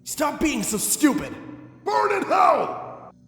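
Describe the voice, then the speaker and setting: A man voicing an enraged, reckless younger vampire brother in a dramatic story reading, shouting and screaming with strain. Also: very high voice, very animated, slow, vocal fry, voice pushed up, heavily acted. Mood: furious. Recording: echoing long reverb, music underneath.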